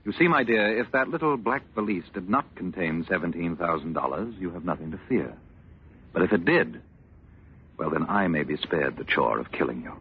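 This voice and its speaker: A menacing, sardonic politician of old radio drama with a deep voice. He is theatrical and mockingly courteous, drawling his endearments. The recording is muffled, with clear background noise. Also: moderate pace. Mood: gloating. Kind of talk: 1940s radio drama acting.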